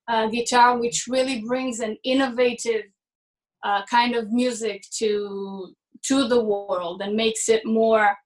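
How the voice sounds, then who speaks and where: Thoughtful young woman musician, slightly high. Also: rough recording. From Israel. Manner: thoughtful, halting, restarts phrases. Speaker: musician guest. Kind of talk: interview answers.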